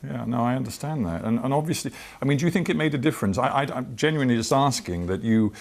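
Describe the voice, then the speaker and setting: An older man with a British accent conducting a broadcast interview, asking measured, deliberate and probing questions. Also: medium pitch, lively intonation, gravelly, darkish tone. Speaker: television interviewer.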